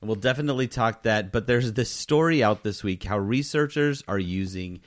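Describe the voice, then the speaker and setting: A man's voice: lowish voice, moderate pace. A man co-hosting a gaming podcast in casual, joking banter with a mock-serious way of talking. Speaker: podcast co-host.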